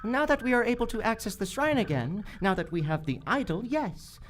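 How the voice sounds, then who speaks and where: A woman playing a solemn, menacing island leader in live radio theater, measured and cryptic. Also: a little high, lively intonation. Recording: live stage recording, faint background noise.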